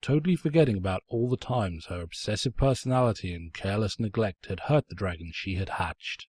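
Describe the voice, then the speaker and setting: Casting: male story narrator, raspy, mock-pompous and sardonic, very dry close recording. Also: fairly low pitch, expressive, brisk, darkish tone, dry recording. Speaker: narrator, fan fiction reader. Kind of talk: theatrical scripted story narration.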